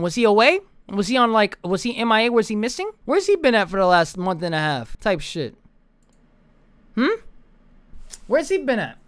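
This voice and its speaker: A man's voice: high voice, fairly bright tone. A young American man telling a casual story to his stream chat, slangy and profane, repeating phrases for emphasis, close to a desk mic. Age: young adult. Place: bedroom or basement room, indoors. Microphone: desk microphone close to the mouth, with headset.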